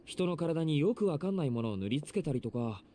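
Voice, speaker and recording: A blunt, teasing young man acted by a man from a visual novel game. He rattles along very quickly in a mellow, animated voice, with faint noise behind. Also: on the high side, close to an everyday voice. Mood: teasing, exasperated. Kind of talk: Japanese visual novel voice acting.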